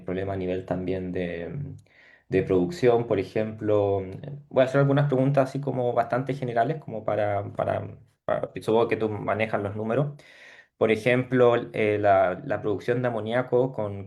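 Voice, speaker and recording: A man's voice: on the low side, fairly even intonation, normal speed, darkish tone. A man, a professor putting general questions at an academic seminar, tentatively rephrasing as he goes. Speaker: university professor asking questions.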